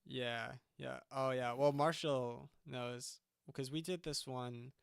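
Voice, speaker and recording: A man's voice: medium pitch, fairly even intonation, takes it slowly, creaky, clear, resonant tone, dry recording, studio quality. A young man, a band's singer, chatting casually on a livestream and often stopping to restart his sentences. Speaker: singer and band frontman.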